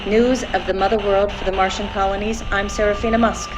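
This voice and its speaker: Woman acting a formal newsreader over clear noise. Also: mid-range voice, level tone, lightly acted. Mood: composed, formal. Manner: formal, measured announcing. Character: female futuristic newsreader for Martian colonies, composed, formal. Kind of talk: scripted news bulletin in a radio play. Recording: noisy radio broadcast sound.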